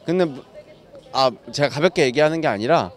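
A man's voice: a little high, lively intonation, fairly quick. Young man, careful explainer, outdoor street interview. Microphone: handheld mic held by interviewer.

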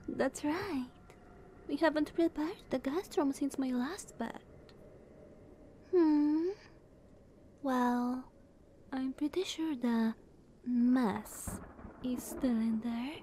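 A woman playing a playful, teasing younger vampire sister in ASMR roleplay, sultry and breathy, often near a whisper, coy and purring. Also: high voice, a little hiss or hum, heavily acted. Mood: coy, possessive. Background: soft ambience.

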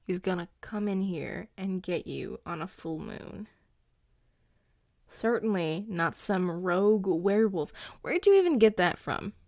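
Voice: mid-range voice, gravelly, no echo. An actor playing a grumpy but caring werewolf boyfriend in an intimate audio roleplay, dry and exasperated, with sighs. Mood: grumpy, reluctant. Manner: sighing, dry, exasperated, teasing.

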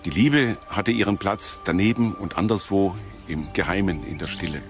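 A man speaking as an expert in a documentary interview, explaining deliberately phrase by phrase. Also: low-pitched, faint music behind.

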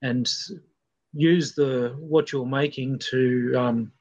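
A middle-aged Australian man recollecting casually on a video call, in an understated, halting way. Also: mid-range voice, steady tone, a little mellow. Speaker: podcast guest. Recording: dull video-call audio.

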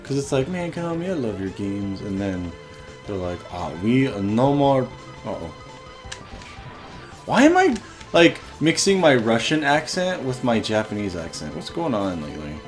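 A man's voice: medium pitch, huge pitch swings, moderate pace, over music. A young man hosting a let's play, chatting casually and swearing with frustrated outbursts. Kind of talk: casual gameplay commentary.

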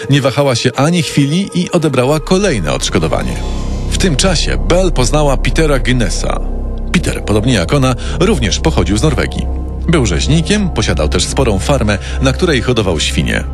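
A man narrating a scripted true-crime story with music behind him. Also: mid-range voice.

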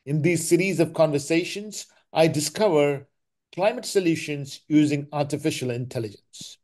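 Male podcast host, slightly high-pitched voice, presenting and interviewing. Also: darkish tone. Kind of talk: scripted introduction and interview questions.

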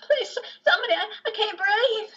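A woman's voice: very high-pitched, normal speed, pushed and bright. A woman voicing a terrified young girl with gasping, pleading cries in an online play reading. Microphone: video call. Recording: dull video call audio.

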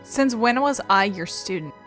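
A woman's voice: mid-range voice, expressive, normal speed, over music. A woman doing casual let's-play commentary, rambling and self-deprecating, on a close microphone. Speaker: video game streamer.

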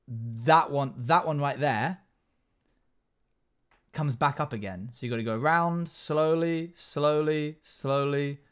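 A man in his twenties giving casual, chatty commentary on a game stream, reading out chat messages and replying. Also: on the high side. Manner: chatty, reads chat messages aloud and answers. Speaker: live streamer. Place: small home room, indoors.

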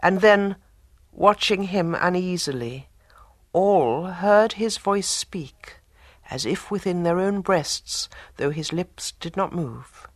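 A storyteller reading a tale as an audiobook, in a soft, breathy voice with measured delivery and dramatic pauses. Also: medium pitch, animated, no echo, clean studio sound. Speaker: storyteller narrator. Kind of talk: scripted audiobook story narration.

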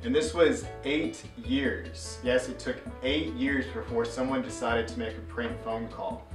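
A man's voice: mid-range voice, fairly even intonation, a little slow, music in the background. A man in his thirties co-hosting a casual, bantering show, recorded in a small room. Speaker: co-host of a comedy trivia show. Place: small furnished room indoors. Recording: roomy echo, slightly distant mic.

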